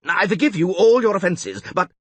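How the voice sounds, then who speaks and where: A man's voice: mid-range voice, huge pitch swings, moderate pace, breathy edge, no room sound, pitched above the performer's own voice, exaggerated voice. A man doing a blustering, panicky town mayor. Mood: frantic, furious. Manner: shouting, frantic.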